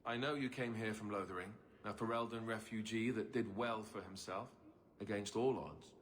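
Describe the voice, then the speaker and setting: A man's voice: lowish voice, moderate pace, lightly acted. An actor playing an affable, self-deprecating king in a video game, with a wry, informal manner. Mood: candid, dry. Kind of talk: video game cutscene acting.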